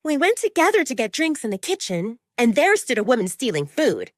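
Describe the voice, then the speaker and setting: A woman's voice: fairly high pitch, big pitch swings, brisk, no room sound, studio quality, voice pushed up. A woman voicing a whiny, spoiled young she-wolf daughter in an audio drama, pouting and exaggerated. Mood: indignant.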